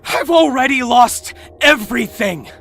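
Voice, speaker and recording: A man voicing a bitter, vengeful warrior cat who stammers and gasps in anguish, in an animated fan drama. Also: very high voice, a little slow, full character voice. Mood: despairing, goading. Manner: breathy gasps, stammering, swings into shouting. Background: dramatic background music.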